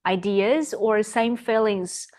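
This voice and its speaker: A woman's voice: medium pitch, slow pace, a little mellow. Chinese woman hosting an interview. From China. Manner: friendly, encouraging, short prompts. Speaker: interviewer, video host. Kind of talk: casual interview.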